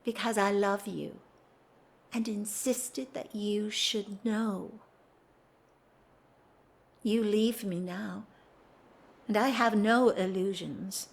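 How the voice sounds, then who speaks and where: A woman's voice: medium pitch, steady tone, vocal fry. An actress playing a dignified married society woman in love, speaking formally and earnestly in a period audio drama. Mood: fervent confession, then wistful, resigned. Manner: formal, earnest, theatrical, measured delivery.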